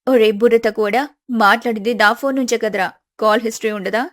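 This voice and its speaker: A woman storyteller plays a caring, bossy young woman in a family tale. She speaks in a fairly high voice, scolding and advising like a loyal friend. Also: steady tone, brisk, no room sound, clean studio sound, natural voice. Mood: teasing, scolding.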